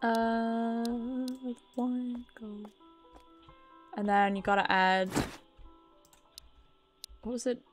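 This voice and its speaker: Casting: British female game streamer, higher-pitched, chatty and sweary, commentating over faint game music. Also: steady tone, very slow, pauses often. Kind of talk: casual game stream commentary, reading dialogue aloud.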